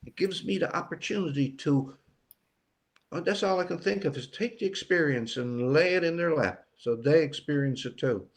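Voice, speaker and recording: A man's voice: fairly high pitch, normal speed. An older man, an author talking plainly in short, emphatic phrases in an interview. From Newfoundland, Canada. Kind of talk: conversational interview.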